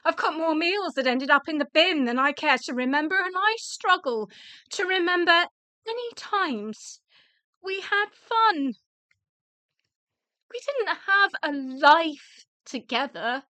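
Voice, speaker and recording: A woman's voice: very high-pitched, animated, slow, pushed and bright, no room sound, exaggerated voice. A woman with a British accent playing a weary, resentful, blunt and sardonic widow in a radio play. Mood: bitter, reproachful.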